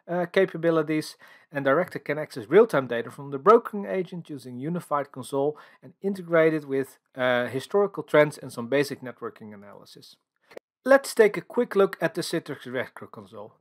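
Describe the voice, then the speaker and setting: Male presenter, Dutch accent, higher-pitched voice, explanatory delivery full of uhs, tech-talk narration over slides. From Netherlands. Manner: explanatory, filler-heavy with frequent uhs. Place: voice-over for screen recording and slides.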